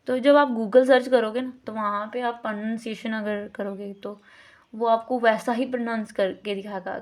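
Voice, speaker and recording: Indian woman, lecturer, slightly high voice, slow and deliberate, demonstrates pronunciation by repeating words. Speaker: teacher. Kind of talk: lecture explaining a course unit.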